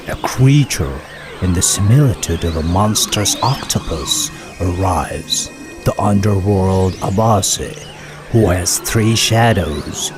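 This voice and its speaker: Man reading an old epic tale, deepish voice, solemn n slow, music loud behind him. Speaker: narrator. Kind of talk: scripted storytelling narration of an animated folk epic.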